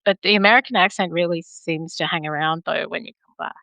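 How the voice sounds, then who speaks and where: An Australian woman hosting a podcast in a friendly, easygoing way, laughing along with her guest. Her voice sits slightly low but sounds bright, recorded close and dry. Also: fairly even intonation, fast, creaky, no echo. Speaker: podcast host. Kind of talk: casual interview chat.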